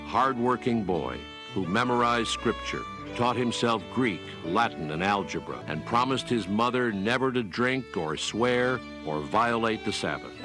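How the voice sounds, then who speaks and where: Low-voiced man narrating over music. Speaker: documentary narrator. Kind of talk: scripted documentary narration. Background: instrumental music, plucked strings.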